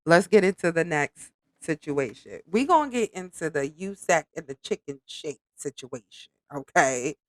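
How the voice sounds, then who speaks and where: A woman's voice: deep voice, moderate pace, no echo, studio quality. Chatty woman with a nasal, stuffy voice. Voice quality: slightly nasal. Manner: chatty, animated, informal slang. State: congested from allergies. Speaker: podcast host reacting to a reality show. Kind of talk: casual reaction commentary.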